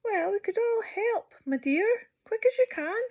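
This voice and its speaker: A woman's voice: extremely high pitch, lively intonation, unhurried, a little mellow, lo-fi sound, a little hiss or hum, pitched above the performer's own voice. A woman voicing a bossy, eager female hippo who dreams of space, in a children's storybook. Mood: bossy, excited. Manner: exclamatory, commanding, eager.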